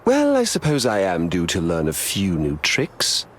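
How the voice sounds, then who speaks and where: A man's voice: sits low, huge pitch swings, a little slow, a bit bright, slight background noise, heavily acted. A man playing a scheming, arrogant trickster god-king in a video game, pompous and mocking. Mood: smug, mocking.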